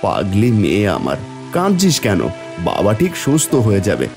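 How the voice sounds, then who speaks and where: A man's voice: on the low side, huge pitch swings, moderate pace, vocal fry, over music, heavily acted. Casting: male actor for an injured, poor labourer dad in a cartoon, plaintive, swooping intonation. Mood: tender, consoling. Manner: plaintive, groaning over pain. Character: poor injured labourer, loving father of a little girl. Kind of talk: Bengali fairy-tale cartoon dubbing.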